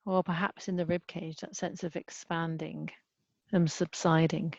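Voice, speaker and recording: Low, gravelly woman guiding a meditation. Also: little pitch movement, no room sound. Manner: gentle, unhurried, with long pauses. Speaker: mindfulness meditation teacher. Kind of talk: guided body scan meditation. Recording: dull, muffled lo-fi sound.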